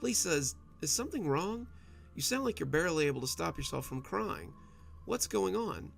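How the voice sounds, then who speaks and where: A man's voice: a little high, big pitch swings, normal speed, gravelly, soft background music, voice pushed up, full character voice. A man voicing a worried young husband who stammers as his fear grows. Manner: anxious, stammering.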